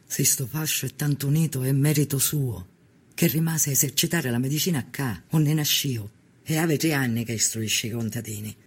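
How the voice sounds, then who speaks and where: A man's voice: mid-range voice, moderate pace, breathy edge. A man playing an impassioned poor Sicilian peasant militant of the 1890s in a documentary drama, plain-spoken, with a Sicilian accent. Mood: earnest.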